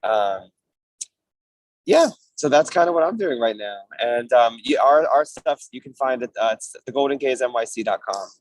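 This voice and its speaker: A man's voice: medium pitch, lively intonation, normal speed, no echo, rough recording. A man who performs on stage, chatting casually and appreciatively as a guest on an online panel. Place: remote online call, dry close sound.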